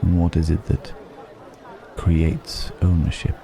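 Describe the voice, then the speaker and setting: A man playing a suave, probing dragon posing as a curious stranger, musing and gently mocking in an intimate ASMR roleplay. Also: deep voice, completely flat delivery, gravelly, heavily acted. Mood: musing, challenging. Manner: deliberate, musing, gently mocking, long pauses. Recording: background music and crowd murmur.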